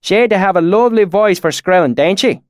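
A man's voice: sits high, expressive, moderate pace, dry recording, clean studio sound, voice pushed up. A man playing a genial young man who puts on a folksy, friendly rural dialect. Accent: put-on rural dialect.

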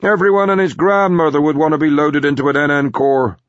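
A man's voice: a little high, steady tone, a little fast, no echo, dull audio, pitched above the performer's own voice, close to an everyday voice. A man voicing a blunt, domineering old tycoon grandfather with a sardonic, emphatic manner in an audiobook. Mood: gruff, emphatic. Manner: blunt, emphatic, mildly coarse.

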